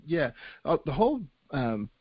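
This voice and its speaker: An older man hosting a podcast in relaxed casual chat, padding his sentences with "you know" and "uh". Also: a little high, measured pace, slightly rough, dry recording. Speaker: podcast host. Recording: dry, slightly dull podcast audio.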